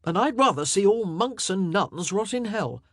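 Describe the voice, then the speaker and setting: A woman's voice: medium pitch, animated, normal speed, dry recording, pitched above the performer's own voice, close to an everyday voice. A woman voicing a zealous male courtier who speaks in a dry, scornful way.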